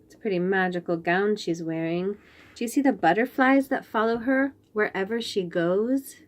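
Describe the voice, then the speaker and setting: A woman storyteller reading picture books aloud to young children, gently and with wonder, pausing to chat to the listeners. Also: medium pitch. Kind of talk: expressive picture-book read-aloud with asides to young listeners.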